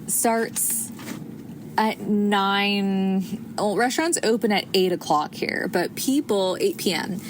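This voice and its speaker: An American woman in her thirties talks through a casual solo podcast, chatty and full of little restarts and fillers. Her voice sits at an ordinary pitch, with clear noise running behind it. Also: slow. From United States. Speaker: podcast host. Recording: audible background noise.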